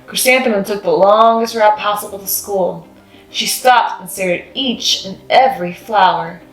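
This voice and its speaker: A woman's voice: mid-range voice, moderate pace. A woman reads a children's story aloud in scripted storybook narration. Her voice sits in a roomy, echoing space, with faint music behind it. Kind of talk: scripted picture-book narration.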